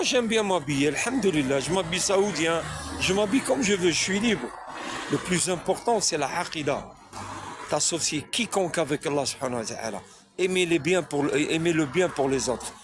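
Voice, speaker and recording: A man from France speaks in a slightly high voice, delivering an unscripted religious monologue straight to his viewers. He preaches, circles back on his points and keeps asking rhetorical questions. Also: expressive, normal speed.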